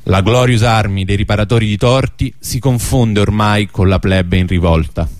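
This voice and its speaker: A man's voice: on the low side, normal speed, a little mellow, no room sound. A man narrating a historical radio drama from a script. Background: music under the voice.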